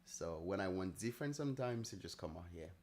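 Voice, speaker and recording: A man with a mixed Nigerian and Italian accent, chatting casually and thinking aloud on a live trading stream, easygoing and quick to laugh. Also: sits low, expressive, darkish tone, a little hiss or hum. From Nigeria, raised partly in Italy. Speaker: trading livestream host. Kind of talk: casual live-stream chat answering viewers.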